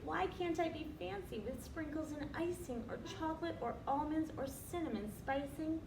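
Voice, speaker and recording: Woman voicing a plaintive, high-pitched sad little cookie. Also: normal speed, vocal fry. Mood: wistful, envious. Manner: plaintive, wistful sing-song lament. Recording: roomy, distant, noisy recording.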